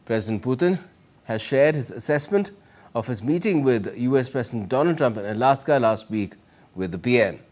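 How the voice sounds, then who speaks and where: A male television news anchor with an Indian accent. His voice sits at a middle pitch for a man, and he delivers formal bulletin copy and questions in a live news style. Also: lively intonation, a little fast, darkish tone.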